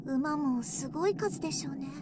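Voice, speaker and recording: Woman playing a high-voiced young desert heroine. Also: normal speed, background noise, lightly acted. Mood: curious. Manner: earnest, dramatic. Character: naive yet resolute young desert woman captive. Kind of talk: Japanese radio drama acting.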